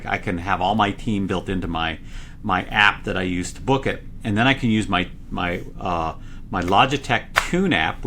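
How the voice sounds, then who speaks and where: A man, a product engineer, explaining products in a conversational interview, excitable and loud when he gets going, with many restarts. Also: lowish voice, a little hiss or hum. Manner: frequent fillers and restarts.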